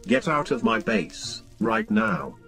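Guy doing whiny hacker villain for a minecraft cartoon, creaky, highish, theatrical. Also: big pitch swings, slow pace, loud music behind the voice, heavily acted. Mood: annoyed. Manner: theatrical, speaks internet slang aloud. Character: whiny, scheming masked male hacker villain. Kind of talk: animated Minecraft kids roleplay acting.

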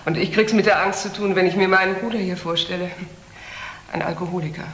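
A woman's voice: lowish voice, fairly even intonation, fast talker, fairly bright tone, close to an everyday voice. An actress playing a proud, weary middle-aged woman doctor in a crime drama, dry and resigned. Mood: bitter, resigned. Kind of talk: German crime drama acting. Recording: roomy, noisy drama soundtrack.

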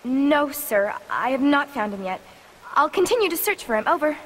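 An American actress playing an earnest, anxious young police rookie in a video game, sincere and questioning. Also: female voice, high-pitched, very animated, normal speed, a bit bright, a little hiss or hum. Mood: dutiful. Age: young adult. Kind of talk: video game cutscene acting. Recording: long cavernous reverb, music behind.

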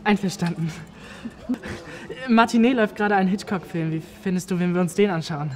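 A man's voice: high-pitched, brisk, darkish tone, lightly acted. A young man playing an earnest, awkward amateur detective in film dialogue, speaking politely and hesitantly. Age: young adult.

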